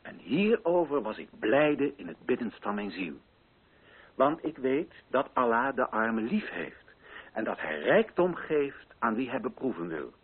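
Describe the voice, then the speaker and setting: A solemn, idealistic colonial official in a radio play, orates formally. His delivery is declamatory and sweeps widely in pitch. The voice is husky, on a dull, band-limited recording. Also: very animated, measured pace. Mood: earnest, exalted. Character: idealistic, solemn male colonial official addressing native chiefs. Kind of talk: radio drama speech.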